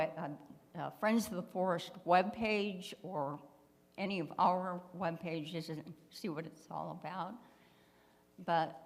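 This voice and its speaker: Slow, loud-voiced woman in a hall. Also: on the low side. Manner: loud, plain-spoken. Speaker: community member inviting to a meeting. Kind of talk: public comment at a forum. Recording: reverberant hall.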